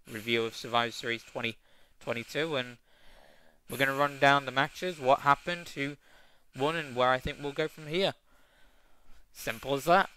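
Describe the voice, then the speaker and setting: Rambling young man reviewing, bright voice. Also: medium pitch, smooth, low-quality recording. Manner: rambling, hesitant, many fillers and restarts. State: tickly cough. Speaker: wrestling review vlogger. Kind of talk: casual review chat.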